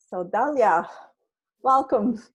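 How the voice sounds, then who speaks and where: A woman's voice: on the high side, animated, extremely slow, slightly rough. A woman from Turkey whose first language is Turkish, hosting an online meetup in a friendly, hesitant way over a video call. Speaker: meetup host. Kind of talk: casual online video chat.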